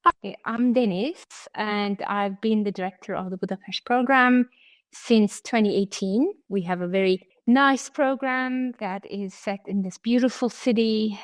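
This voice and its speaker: Measured woman, dry sound. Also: mid-range voice, no room sound. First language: Turkish. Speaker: study abroad program director. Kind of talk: interview answers.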